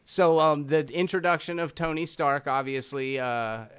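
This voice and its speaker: A man's voice: on the high side, moderate pace, fairly bright tone, clear, resonant tone. A man hosting a casual movie discussion in an informal, emphatic, slangy way. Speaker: host of a movie review show.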